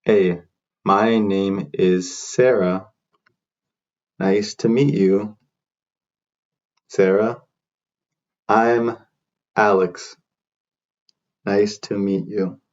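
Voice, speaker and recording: A man teaching beginner English, repeating short phrases slowly for learners to copy. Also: fairly low pitch, fairly even intonation, a little mellow, lo-fi sound. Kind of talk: slow repeat-after-me drill.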